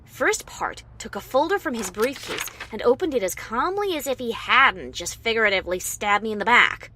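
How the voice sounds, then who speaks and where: Woman acting female pony adventure author, first-person narration, dramatic, lively pitch, crisp forceful tone. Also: moderate pace, slight background noise. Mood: tense, wry. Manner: dramatic, expressive. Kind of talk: first-person story narration, fan fiction reading.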